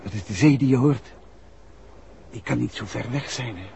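A man acting a calm, resolute detective husband in a 1960s Dutch radio drama. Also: mid-range voice, normal speed, vocal fry, lightly acted. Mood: calm, watchful. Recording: noisy old 1960s radio recording.

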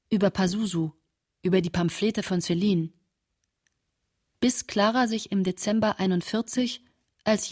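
A reflective woman diarist played by an actress in a literary radio drama. Her voice sits at a middle pitch with a breathy, husky edge, and she tells her entries in a measured, intimate way. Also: darkish tone, close to an everyday voice. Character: reflective French woman reading her 1945 diary of friends.

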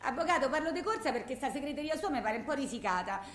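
An actress playing a bitter, distraught blind widow in a theatrical monologue, rambling plaintively in a colloquial Roman accent. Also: female voice, high voice, expressive, quick pace, slight background noise. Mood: hurried, plaintive. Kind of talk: theatrical phone-message monologue. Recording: echoey room.

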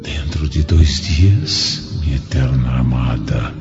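An actor playing the gentle, solemn ghost of a dead Roman senator in a radio drama. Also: male voice, a bass voice, animated, gravelly, exaggerated voice. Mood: solemn, tender. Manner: slow, solemn, prophetic. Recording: dull lo-fi audio with music behind.

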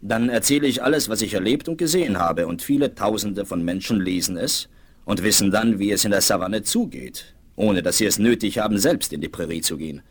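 Composed Western hero, lively intonation. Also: male voice, on the low side, fairly quick. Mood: calm, courteous. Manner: polite, composed, quietly confident. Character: young, calm, self-assured Western adventurer hero. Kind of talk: 1970s German audio drama acting.

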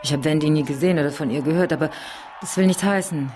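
A woman's voice: deep voice, quick pace, a little mellow, faint music behind, close to an everyday voice. Cool, shrewd actress as a call girl. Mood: explaining. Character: shrewd, businesslike Los Angeles call girl. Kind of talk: German radio drama acting.

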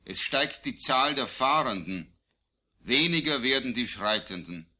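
Man, very bright and tense voice, solemn poetry recitation, deliberate declamatory phrasing, medium pitch. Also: lively intonation. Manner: declamatory, deliberate phrasing with falling line endings. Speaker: poet. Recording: digitized vintage vinyl record.

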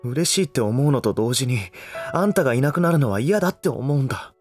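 A young man played by a voice actor in a drama, brash yet guarded. His rough, casual delivery has a very lively, wide-swinging pitch in a medium register, with clear music behind him. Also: quick pace, close to an everyday voice. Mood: reluctant, pained. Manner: rough, blunt casual speech. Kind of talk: Japanese voice drama acting.

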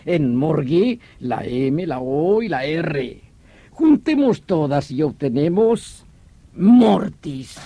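An actor playing an excitable, irritable French police commissioner in an old radio drama, emphatic and condescending. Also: male voice, fairly high pitch, takes it slowly, gravelly, exaggerated voice. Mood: eager, confident.

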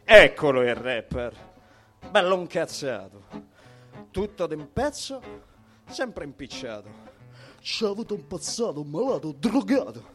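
A man's voice: on the high side, very animated, measured pace, pauses often, a bit bright. A man reciting rap-like poetry live with emphatic, mocking declamation over plucked guitar. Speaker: spoken-word poet performer. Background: plucked guitar music.

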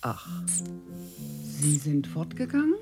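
An actress playing an eager, hospitable guesthouse hostess in a German radio drama, effusive and chatty. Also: female voice, deep voice, big pitch swings, slow, over loud music, full character voice. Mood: solicitous. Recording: echoey room.

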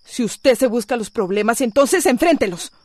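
An actress playing an anguished but resolute Costa Rican wife in a radio soap opera. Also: female voice, fairly high pitch, expressive, moderate pace, background noise, close to an everyday voice. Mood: indignant. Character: anguished, resolute wife standing up to abusive husband.

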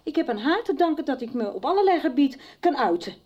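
An emotional, defensive friend. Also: female voice, high-pitched, big pitch swings, quick pace, a little mellow, dull audio. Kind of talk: 1990s radio soap acting.

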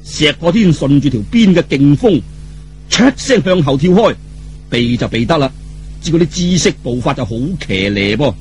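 A man's voice: fairly high pitch, animated, moderate pace, darkish tone, a little hiss or hum. A man telling a story in colloquial Cantonese, theatrical and dramatic, with vivid exclamations.

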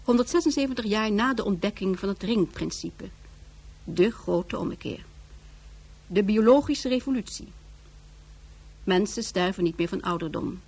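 A woman's voice: mid-range voice, darkish tone, husky. A woman narrating a science fiction radio play in a solemn, declamatory, scripted manner. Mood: solemn, expository.

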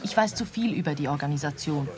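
A woman's voice: low voice, expressive, quick pace. An actress playing a cool, businesslike young woman on the run in a radio play. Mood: matter-of-fact. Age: young adult. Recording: busy bar crowd behind the voice.

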